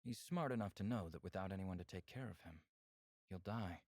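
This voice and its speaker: Visual novel alien, protective and earnest, measured delivery, low with a gravelly edge, close dry sound. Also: male voice, a little mellow, no echo. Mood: calm, explanatory. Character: calm, caring male alien, protective symbiont host.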